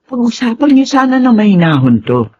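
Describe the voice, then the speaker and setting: A woman's voice: on the high side, very animated, fairly quick, mellow, breathy edge, dull audio. A woman acting an older Filipino grandmother in a radio drama, motherly, coaxing and admonishing. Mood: gently admonishing.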